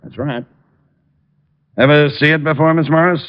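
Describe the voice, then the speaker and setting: A man's voice: fairly high pitch, fairly even intonation, moderate pace, clean tone, natural voice. A man playing an authoritative, stubborn police lieutenant with a blunt, clipped manner in 1940s radio acting. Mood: accusing. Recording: muffled old-time radio recording.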